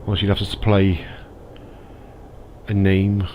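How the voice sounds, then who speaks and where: A low-pitched man, a little stuffed up from a cold, talking his way through a driving game. He chats and thinks aloud to his viewers, with a faint hiss behind him. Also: completely flat delivery, slow pace, darkish tone, low-quality recording. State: slightly stuffy nose from a cold. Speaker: gaming YouTuber. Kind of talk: casual gameplay commentary.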